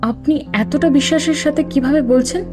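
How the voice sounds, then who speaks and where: A woman's voice: sits high, moderate pace, over music, natural voice. An actress playing a determined young woman in an audio horror drama, asking questions in a firm, probing way.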